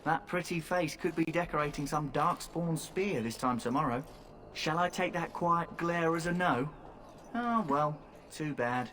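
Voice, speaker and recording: A man's voice: on the high side, unhurried, fairly bright tone, slight background noise. A man voicing a cheeky young thief recruit in video game dialogue, with a teasing manner.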